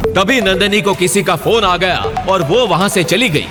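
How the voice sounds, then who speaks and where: A man's voice: high-pitched, moderate pace, in a large hall. A man giving dramatic scripted narration of an audio story, with music behind him.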